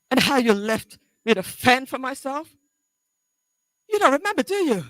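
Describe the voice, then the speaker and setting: A woman acting a bitter, wounded grown daughter, halting. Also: high voice, a bit bright, dry recording, full character voice, rough recording. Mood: defiant, accusing, then hurt, anguished. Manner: halting, emotional, accusing. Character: bitter, wounded grown daughter confronting her neglectful mother. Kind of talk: dramatic audition monologue.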